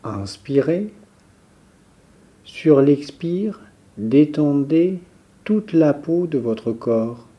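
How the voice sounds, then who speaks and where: A man leading a guided yoga nidra relaxation as a teacher, giving calm, measured instructions with pauses. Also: on the high side, lo-fi sound.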